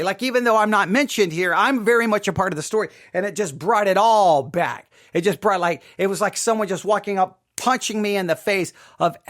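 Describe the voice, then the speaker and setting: Emphatic man with a slightly high voice. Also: fairly bright tone. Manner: emphatic, repetitive, halting. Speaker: podcast host. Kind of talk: informal personal commentary.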